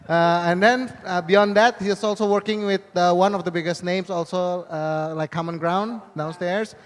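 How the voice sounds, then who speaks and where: A man's voice: high voice, steady tone, moderate pace. A man moderating a conference panel, chatty and joking as he mixes two languages. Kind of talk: live panel hosting. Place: conference hall. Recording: long reverb of a big hall.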